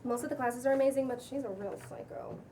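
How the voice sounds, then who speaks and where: A woman's voice: high voice, warm tone, lightly acted. A woman acting a bubbly influencer in her thirties with an intense streak, gushing and slangy, in a cold script read. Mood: conspiratorial. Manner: gushing, drawn-out exclamations, casual slangy talk. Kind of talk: cold table read of a comedy pilot. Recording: distant room mic, faint background noise.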